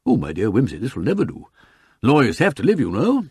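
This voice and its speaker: A man's voice: mid-range voice, wildly swooping pitch, brisk, a little mellow, breathy edge, no echo, studio quality, heavily acted. Man as a hearty, swooping English barrister. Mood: jovial, eager. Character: hearty, effusive, self-assured eminent male barrister.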